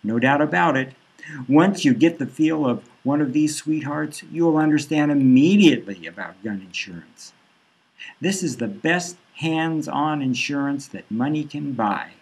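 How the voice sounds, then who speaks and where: A boastful male arms dealer hard-selling his gear, put on by a man reading his own novel. The voice sits higher than the reader's own, with a husky edge. He takes his time over each item he pitches. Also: animated, measured pace, darkish tone, exaggerated voice, poor audio. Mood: pushy. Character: pushy middle-aged male arms dealer, salesy and boastful.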